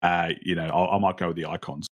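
A man with an Australian accent speaks as a podcast guest with a very deep voice. He talks quickly and keeps the tone wry and joking. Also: little pitch movement. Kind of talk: casual podcast chat.

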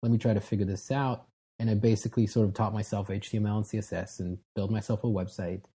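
A man in his thirties from Israel, a guest telling his story in a relaxed, casual way with frequent restarts. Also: lowish voice, quick pace, husky. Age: 30s. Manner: relaxed, frequent restarts and fillers, self-deprecating. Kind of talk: casual interview talk.

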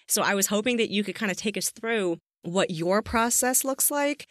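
A woman's voice: mid-range voice, animated, normal speed, no echo, studio quality. A woman hosting a casual interview, effusive and informal in the way she talks. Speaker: podcast interviewer and host.

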